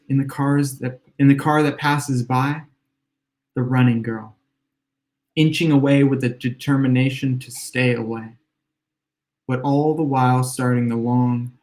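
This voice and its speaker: A man with a slightly hoarse, worn voice reads his own literary fiction in a measured, reflective way. His pitch sits in an ordinary middle range, and he lets the first-person lines unfold at an unhurried pace. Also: fairly even intonation, darkish tone. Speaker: author. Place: home room with exposed brick.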